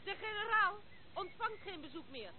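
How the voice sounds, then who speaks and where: A woman's voice: very high-pitched, animated, normal speed. An actress playing a teasing, challenging young noblewoman in a Dutch radio play. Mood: mocking, challenging. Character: mocking young noblewoman calling from a distance. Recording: tinny band-limited old recording, background outdoor noises.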